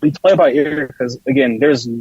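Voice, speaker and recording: A young man with a husky, rough-edged voice chats casually as a panel guest. The recording is dry and a little dull. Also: mid-range voice, moderate pace, darkish tone, no room sound. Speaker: roundtable guest. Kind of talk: casual chat. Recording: slightly dull, lo-fi, dry sound.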